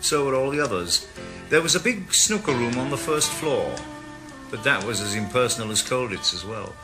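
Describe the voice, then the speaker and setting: A man's voice: medium pitch, animated, moderate pace, music in the background, natural voice. A man playing a wry, weary Welsh ex-cop. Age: older. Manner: dry, wry, world-weary. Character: retired male ex-policeman turned private eye, wry. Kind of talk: radio drama with first-person narration.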